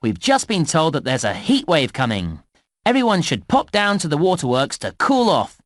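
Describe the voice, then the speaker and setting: A man's voice: fairly high pitch, huge pitch swings, moderate pace, no room sound, clean studio sound. A man voicing a cheerful, encouraging theme park guide, narrating a children's game in a scripted, presenter-like delivery. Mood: cheery announcing.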